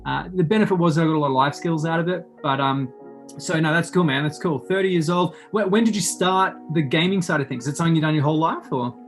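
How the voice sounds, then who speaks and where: Australian man, late twenties, slightly high voice, enthusiastic rambling interviewer, casual chat, music behind. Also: quick pace. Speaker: podcast host interviewing a guest.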